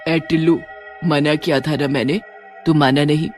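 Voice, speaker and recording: A woman's voice: deep voice, fairly even intonation, moderate pace, a little mellow, faint music behind, pitched below the performer's own voice, lightly acted. A woman voicing a cunning, menacing witch from a horror story, taunting and commanding. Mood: scolding. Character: menacing, cunning female witch haunting a phone booth. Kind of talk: Hindi animated horror story acting.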